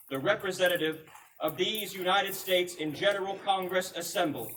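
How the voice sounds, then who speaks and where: A man reenacts a solemn colonial American statesman proclaiming to the public in a high, projected voice. The delivery is formal and oratorical, with deliberate phrasing. It is picked up from a distance at a live event, with faint noise. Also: a bit bright, natural voice. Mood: grave, measured. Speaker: historical reenactor.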